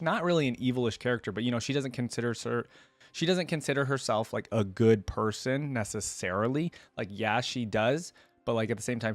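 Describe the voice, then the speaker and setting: A man's voice: medium pitch, no room sound. A man giving casual, unscripted commentary as a reaction channel host, rambling and thinking aloud as he goes.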